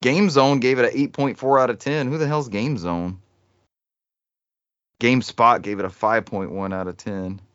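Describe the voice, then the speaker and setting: A man's voice: mid-range voice, moderate pace, fairly bright tone, smooth, no room sound, dull audio. A man hosting a casual gaming podcast, chatting and reading bits of trivia aloud. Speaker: podcast host.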